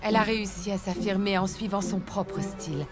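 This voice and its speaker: A patient elephant mother from a cartoon, voiced by a woman speaks in an even, mid-height voice. She soothes and coaxes her children gently, sounding a touch flustered, with background music behind her. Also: medium pitch, fairly quick, close to an everyday voice. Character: elephant mother, warm, patient home cook.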